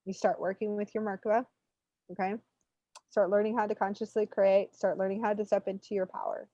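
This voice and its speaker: A woman giving an unscripted spiritual reading, talking in an animated, informal, rambling way as she coaches. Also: medium pitch, a little fast. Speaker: spiritual reader hosting a live readings session. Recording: muffled, roomy, lo-fi online stream.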